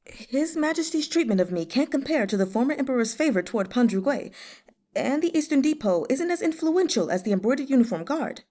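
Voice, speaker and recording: A woman's voice: high voice, animated, fairly quick, dark tone, pitched above the performer's own voice. A woman voicing a fretful, envious male eunuch official in a storybook, plaintive and deferential. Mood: anxious, wary.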